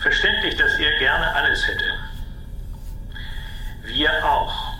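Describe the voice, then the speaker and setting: A man playing an impatient, menacing official in a radio drama, with a sharp, commanding manner. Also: on the high side, slow pace, roomy sound, a little hiss or hum, heavily acted, rough recording. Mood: cool menace. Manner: sharp, commanding, clipped authority. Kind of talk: German radio drama acting.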